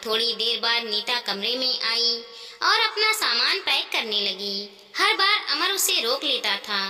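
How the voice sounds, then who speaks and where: A woman's voice: sits high, pushed and bright. A woman reading a scripted moral story as its narrator. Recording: long hall-like reverb.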